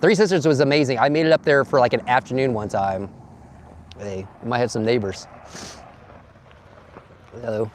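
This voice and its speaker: Slightly hoarse man chatting on a live stream. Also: mid-range voice, a little mellow, a little hiss or hum. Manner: laughs often and greets viewers one by one. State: slightly hoarse after losing his voice. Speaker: vlogger. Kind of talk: casual live stream chat, reading comments. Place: outdoors at a campsite in bright sun. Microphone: phone.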